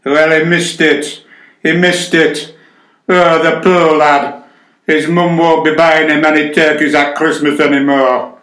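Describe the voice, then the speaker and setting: An actor playing a muddled, guilt-ridden elderly man in a radio play, halting and repeating himself. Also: fairly high pitch, flat delivery, a bit bright. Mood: mournful fixation. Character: confused, guilt-ridden elderly male sports commentator under medical care. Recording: roomy indoor echo.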